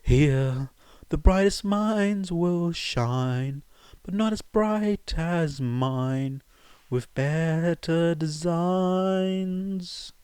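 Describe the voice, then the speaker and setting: A man voicing a defiant young male revolutionary leader in a fan fiction audiobook, with grandiose, drawn-out, theatrical delivery. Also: fairly high pitch, darkish tone, clean tone, dry recording, pitched above the performer's own voice. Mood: defiant singing.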